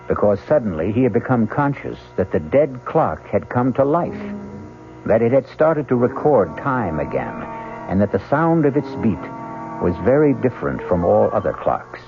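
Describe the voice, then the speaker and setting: Low-voiced male radio mystery host. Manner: deliberate, ominous storytelling. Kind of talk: dramatic scripted narration, old-time radio. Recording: narrow-band vintage radio, music behind.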